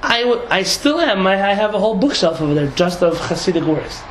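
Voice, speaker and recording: A young man from San Diego, a rabbi talking casually to a group, lively and laughing with many restarts and asides. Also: sits high, brisk. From San Diego, United States. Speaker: rabbi teaching a class. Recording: echoey room, audible noise.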